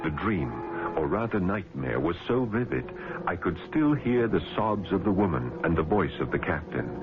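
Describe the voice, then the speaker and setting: An actor in an old-time radio drama playing an earnest, polite young ship's doctor with a measured, deferential manner. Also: male voice, low-pitched, sing-song. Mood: troubled. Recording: tinny vintage radio, music underneath.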